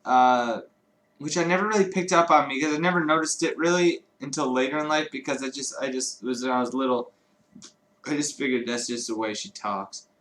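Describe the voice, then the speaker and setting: Man from Chicago, livestream co-host, loose profane chatter, average pitch. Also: a little fast, fairly bright tone, slight background noise. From Chicago, United States. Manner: rambling, profane, laughs often. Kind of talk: casual chat. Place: home streaming studio.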